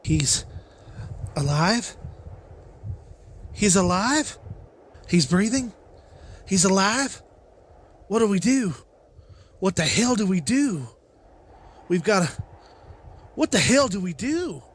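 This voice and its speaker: A man's voice: high voice, big pitch swings, husky, full character voice. A man playing an anxious, determined father and survivor leader in a motion comic, speaking with urgency. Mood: panicked. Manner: urgent, halting. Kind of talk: dramatized motion comic dialogue.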